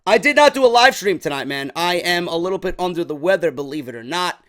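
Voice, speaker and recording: A young man from New York, chatty and slightly hoarse from a sore throat, reviewing a wrestling show in a casual vlog. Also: high-pitched, lively intonation, quick pace, fairly bright tone. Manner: chatty, keeps calling viewers "man".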